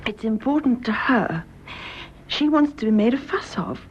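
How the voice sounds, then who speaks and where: An actress playing an anguished young English widow in a radio drama, speaking in a halting, fervent way. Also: female voice, fairly high pitch, animated, highs cut off, a little hiss or hum, lightly acted. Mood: frightened, anguished. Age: young adult. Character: anguished young adulterous widow, honest and emotional. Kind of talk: British radio drama acting.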